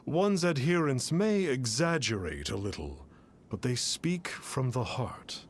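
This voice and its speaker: A man's voice: mid-range voice, very animated, slow, a little hiss or hum. A man playing a pompous self-proclaimed immortal adept in a video game, speaking in grandiose archaic phrases. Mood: lofty, smug. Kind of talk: theatrical video game voice acting.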